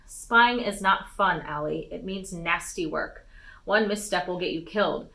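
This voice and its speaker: A woman voicing a stern, vehement warrior noblewoman and mother in a storybook, speaking emphatically and deliberately. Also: on the low side, gravelly, pushed and bright, exaggerated voice. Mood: firm.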